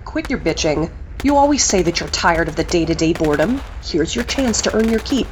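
A woman's voice: fairly low pitch, expressive, fairly quick, background noise, close to an everyday voice. An actress playing a brash, bossy female TV news reporter in an audio drama. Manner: bossy, pushy.

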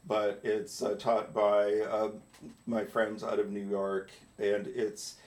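A man's voice: lowish voice, completely flat delivery, slow, darkish tone. A middle-aged man from North Dakota, co-hosting a podcast in casual chat, rambling through stories, swearing and breaking off mid-sentence. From North Dakota, USA. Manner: rambling storyteller, swears, self-interrupting. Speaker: podcast co-host. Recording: roomy echo.

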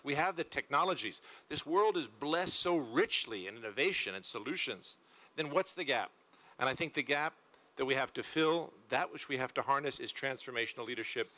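A man's voice: mid-range voice, pushed and bright. A man moderating a panel on a conference stage, speaking emphatically with rhetorical repetition. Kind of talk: formal panel introduction.

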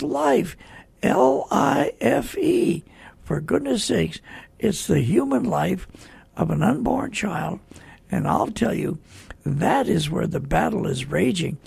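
A man hosting a talk program, preaching conversationally in an emphatic way with a raspy, gravelly voice that slips into breathiness. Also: high voice, darkish tone, dry recording. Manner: emphatic, spells out and repeats key words. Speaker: radio host and preacher.

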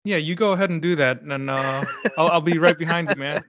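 Male co-host from the US, somewhat higher voice, easygoing and wry, dull lo-fi sound. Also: a little fast. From United States. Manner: laid-back, wry, deadpan quips. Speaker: podcast co-host. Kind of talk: casual podcast chat.

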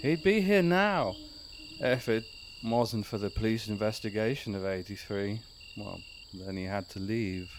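An actor playing a pompous lodge master of a werewolf cult in a comic horror audio drama, grandiose with dry asides. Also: male voice, fairly low pitch, unhurried. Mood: proud, solemn. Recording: night insect ambience, clear noise.

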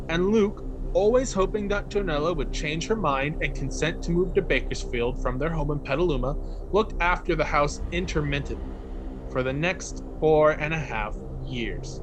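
A man reading a scripted first-person case report in a measured, formal, academic manner. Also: a little high, rough recording. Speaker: narrator, parapsychology researcher.

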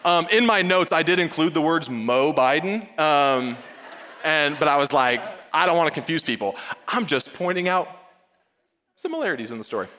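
A man preaching a conversational sermon in an informal, joking way, with emphatic pauses and acted-out dialogue. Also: a little high, animated, bright, forceful tone. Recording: reverberant hall sound.

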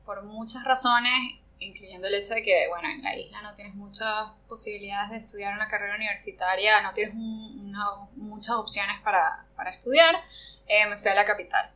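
A woman's voice: mid-range voice, fairly even intonation, brisk, sharp tone, low-quality recording. A Venezuelan woman from Margarita Island answering interview questions in a reflective way, often searching for words. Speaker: interview guest. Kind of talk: conversational interview.

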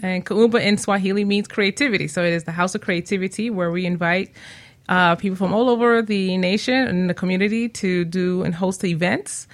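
A woman's voice: fairly low pitch, a little fast. A woman guest on a radio show, speaking casually about herself and her work. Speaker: guest, property manager. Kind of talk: casual interview introduction.

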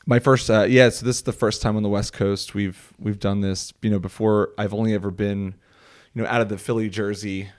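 A man in his thirties from the Philadelphia area with a Philly accent, chatting casually as a comedian guest, relaxed and halting with lots of fillers. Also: lowish voice. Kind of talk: casual interview chat.

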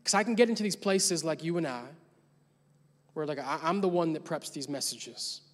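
A man's voice: a little high, lively intonation, moderate pace. A young adult man preaching a sermon as pastor, telling a story casually and building to emphatic points. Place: large church hall. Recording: long church hall reverb.

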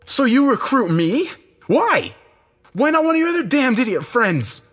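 A distraught husband and father in an audio thriller, voiced by a male narrator. He is confrontational and insistent, always questioning, in a raised, husky voice with big swings in pitch. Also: sing-song, moderate pace. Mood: angry, incredulous.

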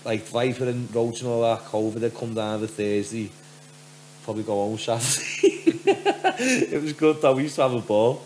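A man from Liverpool with a softened Scouse accent and a deep voice, telling stories casually as a podcast guest. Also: fairly even intonation, normal speed, a little hiss or hum. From Liverpool, England. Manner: rambling storyteller, frequent "do you know what I mean".

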